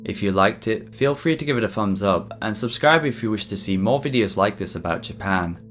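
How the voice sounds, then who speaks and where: Low-voiced man narrating over music. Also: animated, fairly quick, fairly bright tone. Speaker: YouTube narrator. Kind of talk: scripted mystery documentary narration. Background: background music.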